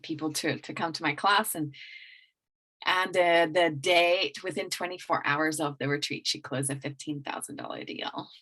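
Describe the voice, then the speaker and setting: Low-voiced woman with a mixed accent. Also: fairly even intonation, moderate pace, fairly bright tone. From England. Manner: rambling with many restarts and fillers. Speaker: guest business coach. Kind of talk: casual interview talk.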